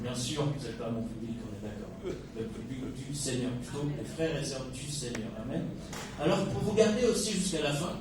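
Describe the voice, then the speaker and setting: A man from Kabylie in Algeria giving an informal sermon to a congregation, chatty, with joking asides and many hesitations. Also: on the high side. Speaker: guest preacher. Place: church hall. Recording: echoey hall from far off, noisy.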